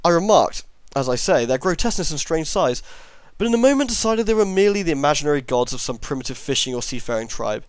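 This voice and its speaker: A man's voice: on the high side, big pitch swings, fast, few pauses, smooth, no room sound. Man reading a horror story, dry close sound. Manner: reads with stumbles over long words, self-correcting. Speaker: amateur story reader.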